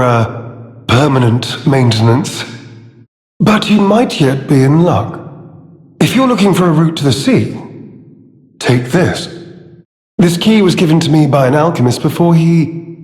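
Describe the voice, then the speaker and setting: A man playing a scheming, cowardly and self-serving informant in a video game, speaking in halting, theatrical phrases with mock politeness. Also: fairly high pitch. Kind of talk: video game character dialogue. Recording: echoey hall with long reverb.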